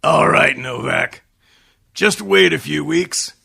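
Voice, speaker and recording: Man playing gravelly, bullying radio police inspector. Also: a little high, takes it slowly, bright, forceful tone, full character voice. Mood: menacing, smug. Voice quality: gravelly, raspy. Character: gruff, bullying male police inspector, easily rattled. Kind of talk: old-time radio detective acting.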